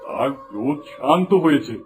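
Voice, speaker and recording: A man's voice: medium pitch, lively intonation, moderate pace, soft background music, natural voice. A man voicing a grave, fatherly old wise man in a fairy-tale cartoon, speaking solemnly.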